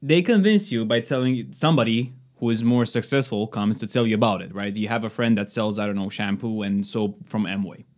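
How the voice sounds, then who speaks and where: A man's voice: fairly low pitch, fairly quick, few pauses, a little mellow. A man in a casual podcast chat, explaining schemes step by step in a matter-of-fact way.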